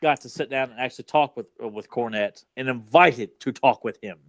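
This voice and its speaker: A man's voice: medium pitch, a little fast, no echo, studio quality. A man from rural Virginia with a Southern accent, co-hosting a podcast in easygoing casual chat full of fillers. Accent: Southern American, Virginia. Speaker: podcast co-host.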